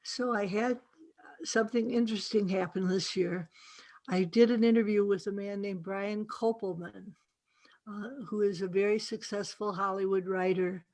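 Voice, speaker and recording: A woman author answering questions at a virtual book event over a video call, speaking in a deliberate, emphatic way with pauses. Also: medium pitch, steady tone, darkish tone.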